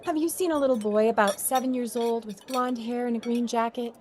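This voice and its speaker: A woman's voice: fairly high pitch, fairly even intonation, fairly quick, a crowd in the background, lightly acted. A woman playing an anxious, grateful mother in a radio play.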